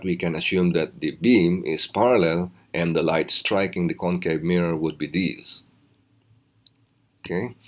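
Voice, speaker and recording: A man teaching physics in a recorded lesson, explaining step by step in a measured, instructional way. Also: deep voice.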